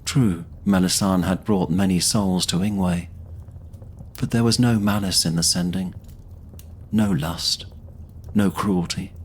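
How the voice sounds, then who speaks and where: A man's voice: low-pitched, animated, slow pace, long pauses, a little mellow, slight background noise. A man narrating a scripted fantasy audio drama in a solemn storytelling manner.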